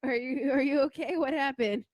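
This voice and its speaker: A woman's voice: high voice, moderate pace, dry recording, dull audio. A woman co-hosting a podcast, chatting playfully, teasing and giggling often. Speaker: podcast co-host. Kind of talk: casual chat. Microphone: handheld mic.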